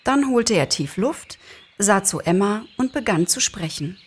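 A woman narrating a story as an expressive scripted reading. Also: mid-range voice, measured pace, husky.

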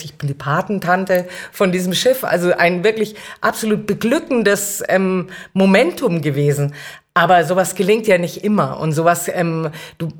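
A middle-aged woman answering as an interview guest, conversational and reflective, often searching for the right words. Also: fairly low pitch. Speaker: city councillor, podcast guest.

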